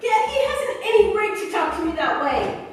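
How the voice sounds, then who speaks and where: A woman's voice: very high voice, sing-song, moderate pace, a little hiss or hum. A woman playing a distraught, frightened young daughter in a staged 1940s-style radio melodrama, close to tears. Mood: tearful, upset. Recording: echoey hall, far from the mic.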